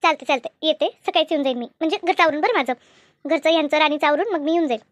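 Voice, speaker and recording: A woman voicing a nosy, helpful village housewife in a cartoon comedy, brisk, repetitive and gently scolding. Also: high voice, no room sound, studio quality, natural voice. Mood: busy, agreeable. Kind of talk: animated cartoon comedy dialogue.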